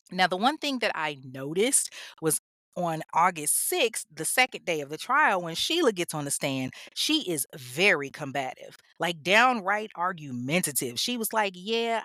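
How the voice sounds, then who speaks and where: A woman's voice: lowish voice, a bit bright, dry recording. A woman hosting a true crime show, telling the story in a casual, blunt way with swearing and laughing asides. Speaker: true crime podcast host.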